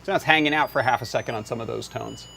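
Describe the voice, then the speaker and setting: A man's voice: mid-range voice, very fast, vocal fry, bright, forceful tone, soft background music. A man hosting a live electronics workshop, explaining demonstrations in a casual way. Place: indoor workshop.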